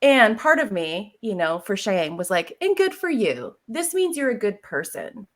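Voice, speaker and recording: An American woman talks casually as a guest on a podcast. Her pitch sits in the middle, and she tells personal stories in an emphatic, animated way, dropping in joking asides and acting out little quotes. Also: a little slow. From Seattle area, United States. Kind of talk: casual conversational storytelling.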